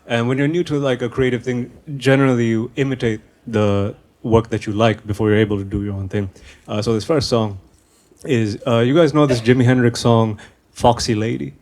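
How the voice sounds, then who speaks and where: A man from Sri Lanka doing conversational stand-up comedy in a hesitant, deadpan way on a stage microphone at a live venue. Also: medium pitch, brisk. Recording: noisy live show recording.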